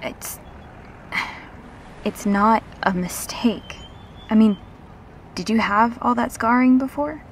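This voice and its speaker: A woman playing a gentle, reassuring revenant in an intimate audio roleplay, speaking earnestly and haltingly. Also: fairly high pitch, slight background noise. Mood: patient, earnest. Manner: halting, earnest, soft-spoken pauses.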